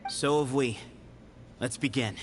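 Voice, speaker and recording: An actor playing an earnest, self-assured young heir of a desert temple in a video game, composed and declamatory. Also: male voice, mid-range voice, a little slow, natural voice. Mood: confident. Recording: prominent music behind the voice.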